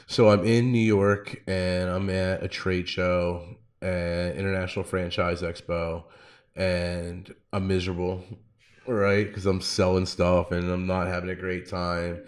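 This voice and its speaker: A man with a fairly low voice hosts a podcast. He talks informally, in loose slangy storytelling that leans often on "like". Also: level tone, a little slow. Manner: informal, slangy, frequent 'like'. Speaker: podcast host. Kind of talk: casual chat, personal storytelling.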